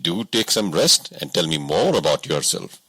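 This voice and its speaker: Guy doing a calm bossy king in disguise, audiobook style, lowish husky voice. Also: normal speed, no room sound, full character voice. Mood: calm, curious. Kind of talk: audiobook storytelling.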